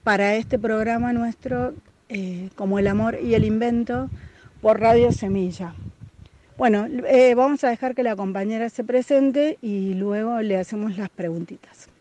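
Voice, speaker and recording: A woman's voice: a little high, normal speed, dark tone, a little hiss or hum. A woman interviewing a guest for a radio show in an informal way, using affectionate diminutives.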